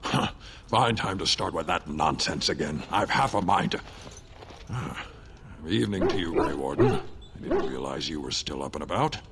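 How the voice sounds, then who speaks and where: A gruff, courteous dwarf commander in a fantasy game. The voice is low and very animated, with formal phrasing. There is clear noise behind it. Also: male voice, moderate pace. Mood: irritated, polite. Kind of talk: fantasy video game dialogue.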